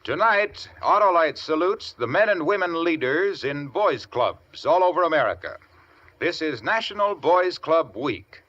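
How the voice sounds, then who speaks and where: A man works as a radio announcer in 1950s style, polished and emphatic. His voice is bright and swings widely in pitch. The old broadcast sounds dull, with some music.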